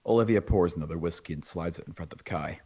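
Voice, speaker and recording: A man with a husky voice reading screenplay stage directions as scripted narration. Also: low-pitched, fast, darkish tone. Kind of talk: scripted narration at table read.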